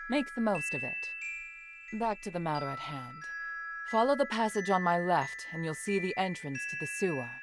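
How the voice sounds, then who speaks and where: An actress playing a composed adult woman guide in a video game, speaking in a measured, instructive way over music. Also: fairly low pitch, natural voice. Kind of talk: scripted video game acting.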